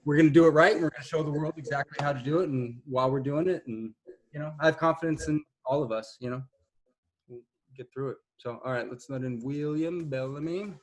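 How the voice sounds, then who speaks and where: A man's voice: medium pitch, poor audio. A man organizing a film shoot, chatting on a video call and rambling reassuringly with many restarts. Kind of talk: casual chat on a video call.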